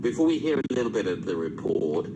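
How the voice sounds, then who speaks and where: A man's voice: low voice, very animated, fast talker, heavy background noise. A man presenting and conducting a broadcast interview on radio, heard through shortwave reception.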